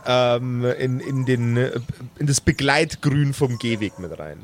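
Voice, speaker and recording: A man narrating an improvised role-play story as game master, measured and pausing to find his words. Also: medium pitch, slight background noise.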